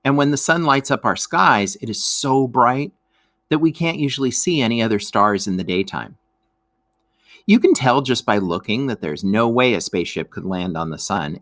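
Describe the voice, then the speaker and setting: A man reads an educational text aloud in a dry, close-sounding recording. His pitch moves up and down a lot as he explains things expressively to young listeners, like a teacher at read-aloud time. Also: a bit bright, no room sound. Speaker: teacher reading a lesson aloud.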